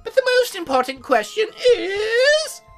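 A man voicing a prim, teasing young female demon from a video game, questioning in a slow, coy way. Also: extremely high pitch, very animated, a bit bright, faint music behind, pitched above the performer's own voice, heavily acted.

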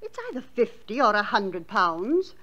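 An actress playing an elderly, well-off aunt, businesslike and firm, in old-time radio drama style. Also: female voice, mid-range voice, very animated, unhurried, a bit bright, highs cut off. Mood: composed, grave. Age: older. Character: elderly, brisk, wealthy aunt, firm with servants.

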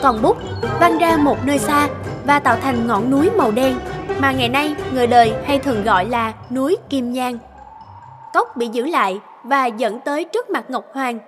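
A woman's voice: sits high, normal speed. A woman narrating a fairy tale in a scripted storytelling style. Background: loud background music.

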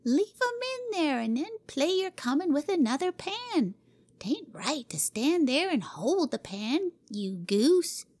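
A woman voicing a bossy, excitable little girl in a storybook reading, shrill and commanding. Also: slow pace, no echo, heavily acted. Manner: shrill, imperious, gleeful.

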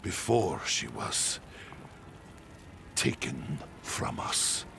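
Theatrical, animated sinister mastermind. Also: male voice, rumbling low, drawn-out words, gravelly, background noise, heavily acted. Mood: sorrowful, intense. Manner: theatrical, precise, formal. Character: theatrical, sinister, regretful mastermind on a grim mission. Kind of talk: dramatic video game cutscene acting. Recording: game audio under heavy music.

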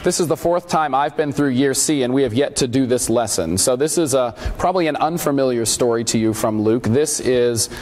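A man in his thirties, a pastor with a scratchy voice, preaching and reading scripture in a measured, deliberate way. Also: medium pitch, a little mellow. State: scratchy voice from allergies. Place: church sanctuary at a pulpit. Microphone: lapel mic.

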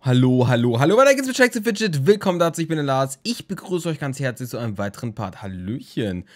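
A man's voice: mid-range voice, sing-song, quick pace. Sleepy young male let's-player, rambling and jokey, casual game commentary at a home desk mic. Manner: rambling, jokey, crude humor. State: tired, just woke from a nap. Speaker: let's play host.

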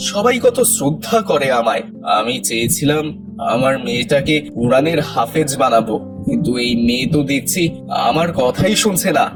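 A man's voice: mid-range voice, very animated, moderate pace, music in the background, natural voice. A man playing a stern, indignant, pious middle-aged father with a dramatic scolding manner in a moral cartoon. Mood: dismayed, indignant.

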